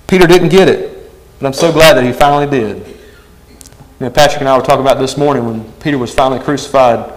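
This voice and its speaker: A man's voice: a little high, poor audio. A man preaching a sermon, earnest and emphatic, often asking his listeners "right?".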